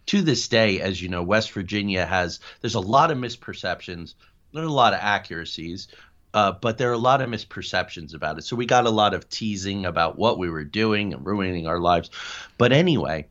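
An American man with a fairly low voice tells stories conversationally as a podcast guest. He is excitable and high-energy, wandering into asides. The audio is slightly dull and band-limited. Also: brisk. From New Jersey, United States. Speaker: podcast guest, paranormal investigator. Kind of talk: conversational personal storytelling.

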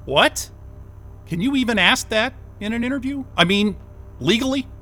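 A flustered, cynical out-of-work techie in an audio drama. His voice is high and piercingly sharp, swinging widely as he blurts and swears in exasperation. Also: lively intonation, moderate pace, soft background music, exaggerated voice. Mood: exasperated, defensive. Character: flustered, cynical jobless tech worker under interrogation. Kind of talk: naturalistic audio drama acting.

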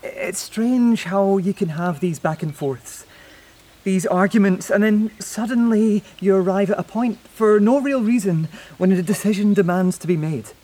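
An actor playing a wistful, reflective man in a naturalistic audio play, speaking haltingly and lyrically. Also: high-pitched, warm tone. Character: wistful, elated man saying goodbye to his beloved. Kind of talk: naturalistic audio drama acting.

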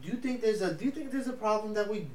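A young man whose first language is Spanish, co-hosting a casual podcast chat in an animated, slangy way with lots of swearing. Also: high voice, quick pace. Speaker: podcast co-host. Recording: echoey room with faint noise.

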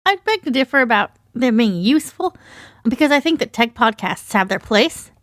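A woman's voice: on the high side, very animated, moderate pace, fairly bright tone, dry recording, studio quality. A woman talking casually as a guest in a podcast conversation. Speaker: podcast guest, audio freelancer. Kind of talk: casual chat.

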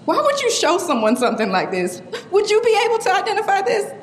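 A grieving young woman in an audio drama, her high voice swinging widely. She is tough but shaken, pleading and blunt, heard in an echoey space. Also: wildly swooping pitch, fast, full character voice. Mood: anguished disbelief. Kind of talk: full-cast audio drama acting. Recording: roomy echo, faint background noise.

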